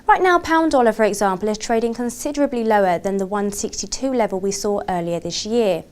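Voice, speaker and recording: A woman presenting as a financial TV interviewer. Her delivery is formal and articulate, with prepared questions read in broadcast style. The voice is of ordinary female pitch. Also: a little fast, smooth. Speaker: TV journalist, interviewer.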